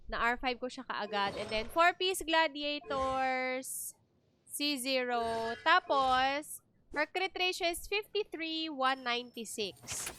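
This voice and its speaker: A woman's voice: high voice, bright, forceful tone, a little hiss or hum. A woman with a Filipino accent hosting a game livestream, chatting casually and thinking aloud as she switches between two languages.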